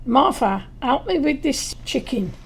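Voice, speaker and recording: A woman's voice: sits high, big pitch swings, measured pace, exaggerated voice. A woman playing a tart but fond wife and mother in an audio play, blunt and motherly. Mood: fussing, fond.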